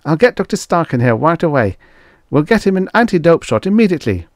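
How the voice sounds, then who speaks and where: Male narrator doing a flustered studio assistant, high and eager to please. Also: very animated, fast talker, no room sound. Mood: hurried. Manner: high, anxious and fawning.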